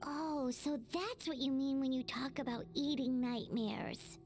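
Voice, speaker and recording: A woman voicing a small, excitable, childlike fairy companion in a video game, cheerful and earnest, with exclamatory delivery. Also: sits high, unhurried, soft background music. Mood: curious. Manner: speaks of self in third person, exclamatory.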